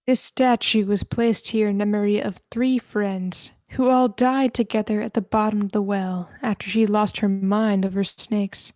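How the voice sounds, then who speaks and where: A woman's voice: mid-range voice, steady tone, normal speed, hardly any pauses, darkish tone, no room sound, lightly acted. A woman playing a tour guide in her late twenties who tells eerie local legends at a screenplay table read. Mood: eerie storytelling.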